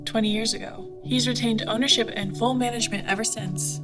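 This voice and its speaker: An actress playing a candid, wry young woman pilot with a rough past, speaking colloquially in an audio drama. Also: fairly high pitch, fairly even intonation, brisk, over music, natural voice. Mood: guarded, bitter. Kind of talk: conversational audio drama acting.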